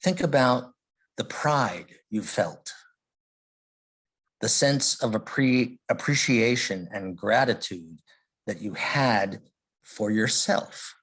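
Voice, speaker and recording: A man leading a guided meditation, speaking gently in short phrases with long pauses. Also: lowish voice, breathy edge, poor audio. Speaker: meditation leader.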